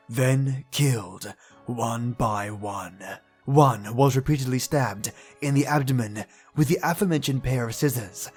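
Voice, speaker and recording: A man playing a grave investigator in a game dub, narrating a grisly case in a measured, formal, brooding way with a husky voice. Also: medium pitch, a little mellow.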